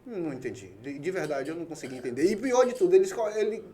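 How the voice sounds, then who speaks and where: A man's voice: fairly high pitch, big pitch swings, very fast, mellow. A man from Salvador with a Brazilian Bahian accent, hosting a casual conversation in an emphatic, colloquial way and acting out quoted dialogue. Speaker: podcast host.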